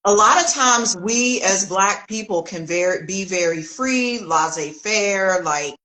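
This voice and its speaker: Animated American woman host. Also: mid-range voice, a little slow, low-quality recording. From United States. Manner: animated, acts out quoted voices. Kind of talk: conversational interview.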